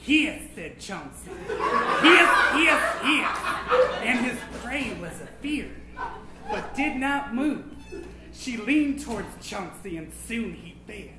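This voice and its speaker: A man's voice: very high voice, sing-song, slow, a loud crowd, full character voice. A man voicing a vain, absent-minded old vampire, reading a comic storybook aloud in a melodramatic, pompous, campy manner. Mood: menacing, theatrical. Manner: melodramatic, pompous, campy, hushed then suddenly loud. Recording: echoey room, noisy live recording.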